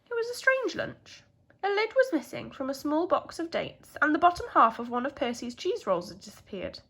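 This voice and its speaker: Animated British woman reading to young children. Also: sits high, moderate pace. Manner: expressive, addressing young children. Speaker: primary school teacher reading a story. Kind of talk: children's storytelling.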